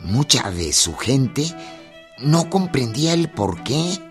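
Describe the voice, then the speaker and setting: A man delivers deliberate, theatrical scripted narration for a radio drama. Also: medium pitch, big pitch swings. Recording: prominent music and effects behind.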